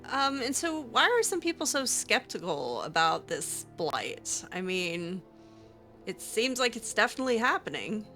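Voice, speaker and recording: A woman's voice: medium pitch, sing-song, measured pace, pushed and bright, faint music behind, full character voice. A woman voicing a skeptical, curious young mage in improvised game roleplay, hesitant and questioning with sardonic asides. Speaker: roleplay gamer.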